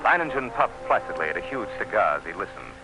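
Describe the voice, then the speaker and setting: An actor playing an earnest district commissioner in an old-time radio drama, speaking with dramatic emphasis. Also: male voice, low voice, big pitch swings, fast, music in the background, rough recording. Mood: measured, grave. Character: earnest, urgent male district commissioner warning of doom.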